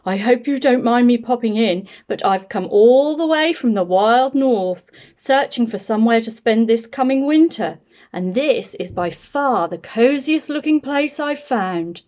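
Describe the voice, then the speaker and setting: Woman voicing a big friendly storybook bear, very animated. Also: on the high side, measured pace. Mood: friendly, polite. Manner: courteous, hearty, dramatically emotional. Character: big friendly brown bear, polite and easily moved. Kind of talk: children's picture book read-aloud.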